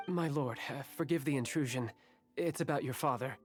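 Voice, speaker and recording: A man's voice: mid-range voice, moderate pace, soft background music, close to an everyday voice. A man playing a deferential, resigned household servant in a video game, formal and hesitant. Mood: apologetic. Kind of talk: video game character acting.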